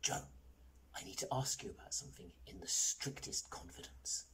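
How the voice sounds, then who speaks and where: An earnest, embarrassed shopkeeper from a comic children's storybook, acted by a man. He is chatty yet confiding, dropping into a hushed, very husky delivery with lively swings of pitch. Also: a little fast. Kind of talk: audiobook character voice for a children's comedy.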